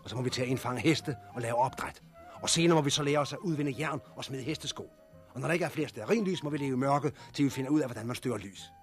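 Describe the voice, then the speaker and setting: An actor playing a blunt, forceful, foul-mouthed leader of disaster survivors in a 1960s Danish radio drama, with a gruff, persuasive way of speaking. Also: male voice, medium pitch, soft background music, lightly acted. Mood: urgent, persuasive.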